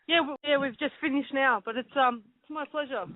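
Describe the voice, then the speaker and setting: A woman's voice: high-pitched, steady tone, brisk, no echo. A woman boxer giving brief, easygoing answers in a phone interview. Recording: tinny phone line.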